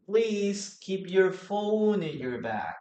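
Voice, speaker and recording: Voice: mid-range voice, big pitch swings, warm tone. An English teacher whose first language is Spanish, giving an encouraging live online class and repeating phrases for the students. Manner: slow, careful delivery.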